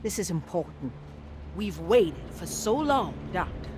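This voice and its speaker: An actress playing an insistent, solemn matron of a 1930s Southern manor in a video game. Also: female voice, lowish voice, sing-song, measured pace, background noise. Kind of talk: video game cutscene acting.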